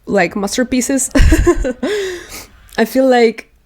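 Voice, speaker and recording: A woman's voice: a little high, takes it slowly, a little mellow. Chatty woman, English not her first language. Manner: chatty, rambling, laughs often. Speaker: book vlogger. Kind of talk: casual chat.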